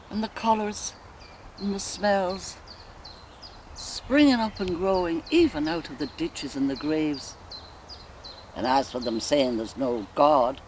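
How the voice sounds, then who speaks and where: An actress playing a sensual Irish woman lost in rapturous memory, in an expressive, musing theatrical soliloquy with an Irish accent. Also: lowish voice. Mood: scornful, playful. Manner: musing, expressive, slow and deliberate with sighs. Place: outdoors in countryside woodland. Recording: faint outdoor ambient noise. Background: faint birdsong and nature ambience.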